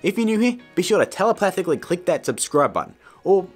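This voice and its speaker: A man in his thirties hosts an informal tutorial with a very animated, swooping delivery. His voice is fairly high and husky, with a jokey manner, over faint music. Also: fast talker. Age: 30s. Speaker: video tutorial host. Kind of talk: presenting a tutorial to camera, informal. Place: small indoor room with shelves.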